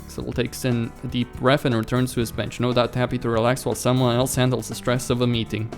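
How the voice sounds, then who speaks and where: A man's voice: medium pitch, little pitch movement, fast talker. A man hosting a let's play, reading a visual novel aloud and reacting informally with some mild swearing, with game music behind him. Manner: acts out dialogue and comments informally with mild swearing.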